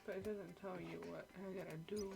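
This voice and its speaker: A woman's voice: fairly low pitch, steady tone, fairly quick, gravelly. Casual woman streamer over game music. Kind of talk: casual livestream gameplay commentary. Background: video game music.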